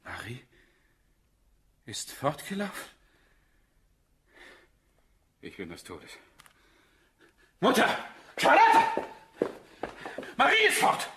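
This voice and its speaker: Wrecked dad, gravelly, wild pitch jumps, radio drama. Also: male voice, huge pitch swings, takes it slowly, long pauses, background noise, full character voice. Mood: distraught. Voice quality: rasping, strained. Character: distraught father, overwhelmed and despairing. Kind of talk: German radio play acting.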